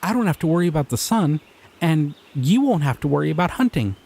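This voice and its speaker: A young man voicing a shy, excitable male slime creature in an audio roleplay, talking in an earnest, flustered, giggly way. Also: a little high, fairly quick, vocal fry, slightly rough, exaggerated voice. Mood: dreamy. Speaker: voice actor.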